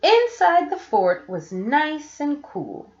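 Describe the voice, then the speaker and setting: A woman reading a picture book aloud for children in an expressive storytelling style, as a teacher would. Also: high-pitched, slow. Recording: roomy, slightly dull home recording.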